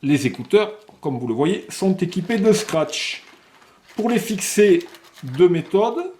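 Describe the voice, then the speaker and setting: A man presenting a product unboxing, explaining technical details step by step and sometimes halting to find words. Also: sits high, very animated, a little mellow. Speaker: product presenter for a motorcycle accessories shop.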